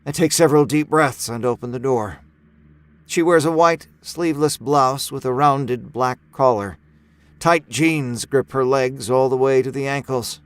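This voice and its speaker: A man narrating a first-person horror story in a measured, brooding, expressive way. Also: mid-range voice, clean tone, no room sound. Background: music score.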